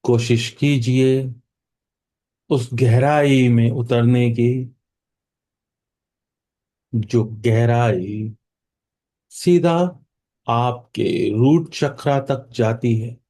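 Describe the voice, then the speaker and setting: A man with an Indian accent guides a meditation at an extremely unhurried pace. His voice is mellow and relaxed, with a middling pitch. He speaks soothingly, leaving long pauses between short phrases.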